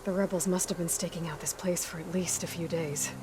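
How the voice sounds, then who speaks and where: A woman's voice: fairly low pitch, steady tone, a little fast, background noise, lightly acted. An actress playing a determined, guarded young female explorer in a video game, speaking in a matter-of-fact, focused way. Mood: focused, musing. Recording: game music behind the voice.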